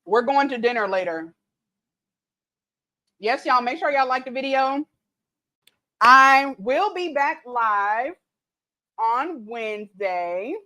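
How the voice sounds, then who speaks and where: A chatty, easygoing woman in her late thirties with a drawl, her voice pitched a little high. She talks loosely and laughs often, a little tipsy, like she's reading comments off her own livestream. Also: expressive, takes it slowly, pauses often, bright, forceful tone. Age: late 30s. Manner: chatty, laughing, reads viewer comments aloud. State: tipsy from mimosas. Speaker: cooking livestream host. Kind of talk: casual livestream chat.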